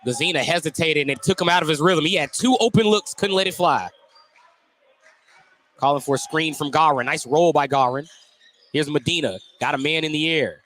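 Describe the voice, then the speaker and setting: A man's voice: fairly high pitch, fairly quick, fairly bright tone. A man doing live radio play-by-play commentary of a basketball game as the announcer. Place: indoor gymnasium. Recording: noisy live gym broadcast. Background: gym noise, bouncing basketballs.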